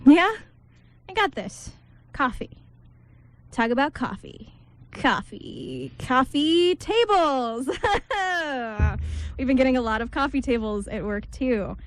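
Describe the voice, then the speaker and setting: A woman's voice: sits high, very animated, slow, heavily acted. A woman playing an agreeable but self-doubting young bridesmaid in an amateur radio drama, with a hesitant, obliging manner. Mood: nervous.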